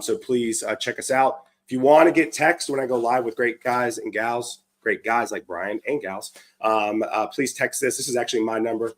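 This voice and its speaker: A man hosting a live show, hoarse from a cold, upbeat and chatty with lots of fillers and restarts. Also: medium pitch. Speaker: livestream show host. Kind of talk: casual live-stream chat.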